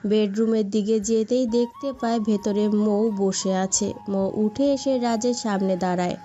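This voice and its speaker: A woman's voice: medium pitch, fairly even intonation, a little slow, mellow. A woman narrating a scripted romantic story as its storyteller. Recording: dull lo-fi sound, background music.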